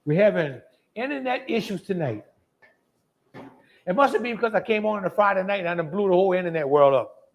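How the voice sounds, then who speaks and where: A man's voice: high voice, expressive, brisk, pauses often, muffled recording. A man hosting a live cooking demonstration in a folksy, chatty, colloquial way. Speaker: host of a live cooking show.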